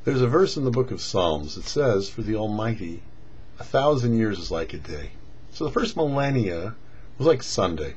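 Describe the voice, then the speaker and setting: A man's voice: fairly low pitch, sing-song, normal speed, a little mellow, breathy edge. A man presenting religious commentary as a rabbi, teaching didactically in short, emphatic phrases. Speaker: rabbi presenting a weekly Torah portion show. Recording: dull lo-fi audio with faint noise.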